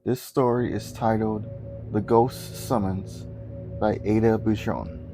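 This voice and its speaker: A man reading a Victorian ghost story aloud as scripted narration, deliberate and theatrical. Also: fairly low pitch, steady tone, over music, poor audio. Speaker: guest story reader.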